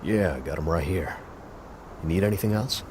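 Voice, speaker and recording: An actor playing an earnest, respectful militant ally in a video game, casual and direct. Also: male voice, sits low, fairly quick, background noise, close to an everyday voice. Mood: friendly. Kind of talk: video game cutscene acting.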